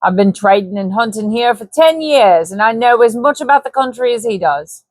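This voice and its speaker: A scheming Cockney hunter and trader, voiced by a woman reading aloud, coaxing and ungrammatical in his talk. He sounds bold and a touch high. The voice sits close and dry, with no room around it. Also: animated, normal speed, a little mellow, no echo. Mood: wheedling. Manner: sly, persuasive, ungrammatical.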